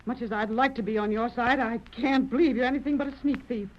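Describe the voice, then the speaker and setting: A woman's voice: fairly high pitch, steady tone, quick pace, slight background noise, natural voice. A woman playing an earnest, heartbroken frontier wife with an American accent, folksy and emotional in radio western style. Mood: disappointed. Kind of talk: 1940s radio western acting. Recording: muffled old radio recording.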